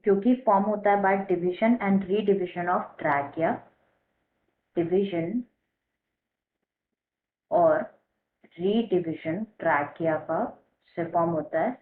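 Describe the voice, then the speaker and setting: An Indian woman teacher explaining a lesson, repeating key terms slowly as if dictating. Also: on the low side. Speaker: biology teacher. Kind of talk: explanatory lesson in mixed Hindi and English. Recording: muffled phone-like narrow-band audio.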